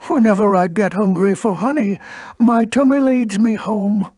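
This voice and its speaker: A woman voicing a gentle, simple-minded male bear in a children's storybook, earnest and musing. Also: mid-range voice, moderate pace, no room sound, studio quality, pitched above the performer's own voice, lightly acted.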